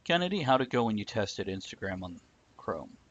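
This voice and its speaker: A man's voice: on the low side, big pitch swings, a little fast, a bit bright. Blunt man chatting, slightly dull lo-fi audio. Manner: blunt, opinionated, swears. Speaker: host of a live talk show. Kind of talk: casual chat, answering live viewer comments.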